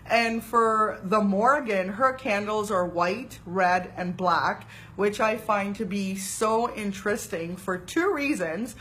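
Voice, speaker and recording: A woman talks through spiritual practices in an easy, conversational way, with a voice in the middle range. She is animated and chatty, drifting into fillers and quick "right?" check-ins as she goes. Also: mid-range voice, slight background noise. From Trinidad and Tobago. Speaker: spiritual teacher. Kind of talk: casual spiritual talk to camera.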